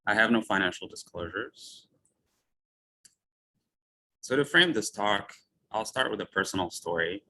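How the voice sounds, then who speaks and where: A physician from Ethiopia gives a lecture in a deep, bright and forceful voice. He talks in a measured way and leans on personal storytelling. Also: expressive. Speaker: physician speaker. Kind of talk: lecture talk.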